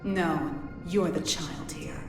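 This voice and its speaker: A woman's voice: low-pitched, slow pace. An actress voicing a menacing, coldly grandiose female villain in an anime game, taunting and theatrical, in heavy echo. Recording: heavy echo, loud music behind.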